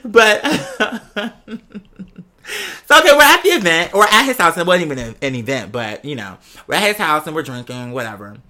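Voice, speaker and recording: A man's voice: fairly high pitch, lively intonation, moderate pace, bright, forceful tone. An American man chatting casually like a gossipy host, with a sassy manner and dramatic emphasis as he tells personal stories. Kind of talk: casual chat, gossipy storytelling.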